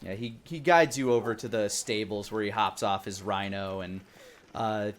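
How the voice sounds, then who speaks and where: A man narrating a tabletop game as dungeon master, improvising and pausing often to search for words. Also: on the low side.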